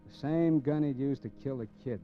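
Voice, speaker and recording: An actor playing a brooding small-time American gangster in a mid-century radio crime drama, with slangy, halting speech. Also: male voice, medium pitch, close to an everyday voice. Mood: bitter, confused. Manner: slangy, halting tough-guy talk with repeated words. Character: brooding small-time male gangster narrating from death row. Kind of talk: 1940s-50s American radio crime acting. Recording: muffled old radio recording with music.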